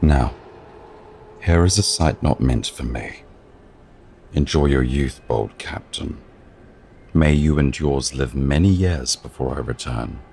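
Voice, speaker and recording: An actor playing a lonely, wounded god of death in an audio drama, musing theatrically in formal, archaic phrases with a gravelly, husky voice. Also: male voice, rumbling low, slow, pauses often, a little mellow, a little hiss or hum, full character voice. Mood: wistful.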